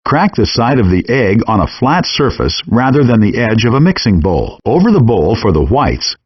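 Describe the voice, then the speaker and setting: A man's voice: medium pitch, big pitch swings, normal speed, husky, no room sound. A man reading scripted cooking instructions step by step, as an instructional narrator in a game. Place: dry close voice-over recording. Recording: muffled lo-fi handheld game audio.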